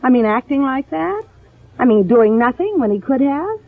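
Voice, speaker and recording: A spoiled young socialite from 1950s radio drama, coy, gushing and evasive. Her husky voice swings freely on a narrow, dated recording. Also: sits high, very animated, normal speed, no room sound, heavily acted. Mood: scornful. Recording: narrow-band, dry old radio recording.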